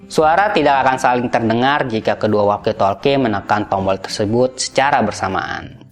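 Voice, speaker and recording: A man's voice: mid-range voice, moderate pace. A man hosting a gadget review, narrating in a casual, explanatory style. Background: background music.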